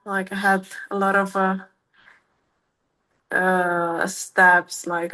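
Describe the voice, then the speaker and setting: A woman's voice: medium pitch, bright, forceful tone, rough recording. A woman in her thirties from Romania with a Romanian accent, answering interview questions in a casual, hesitant way with many fillers and restarts. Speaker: MMA fighter, guest.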